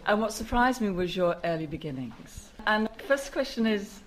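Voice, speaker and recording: A woman conducts a celebrity interview in a medium-pitched voice. Her style is conversational, moving from remarks into questions for her guest. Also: lively intonation, normal speed, slight background noise, rough recording.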